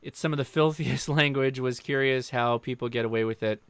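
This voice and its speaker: A man's voice: mid-range voice, a little fast, clean tone, no room sound. An American man hosting a casual livestream, informally joking and talking directly to viewers as he answers their questions. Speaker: livestream host.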